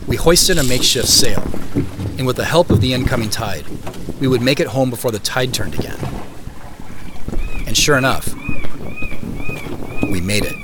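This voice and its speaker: An understated first-person narrator, lively intonation. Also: male voice, medium pitch, normal speed, long pauses, background noise. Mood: nostalgic, measured storytelling. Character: solitary homeowner telling his own story, private, matter-of-fact. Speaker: narrator of an audio drama.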